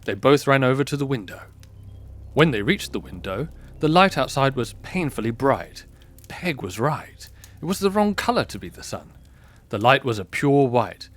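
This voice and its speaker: A man narrating a scripted fantasy audio drama in a measured, expressive storybook style. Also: mid-range voice, no echo. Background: music.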